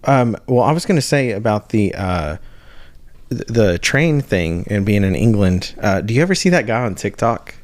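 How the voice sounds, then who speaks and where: A man co-hosting a casual porch chat, easygoing and halting, with many restarts. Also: fairly low pitch, sing-song, a little mellow, dry recording. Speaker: podcast co-host.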